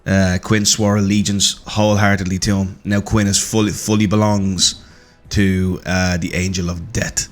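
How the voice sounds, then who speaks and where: A hoarse man, the game master, recapping a tabletop campaign in a casual, joking, swearing way. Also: deep voice, level tone, normal speed, soft background music. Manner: joking, swearing, laughing often. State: hoarse, blew his voice.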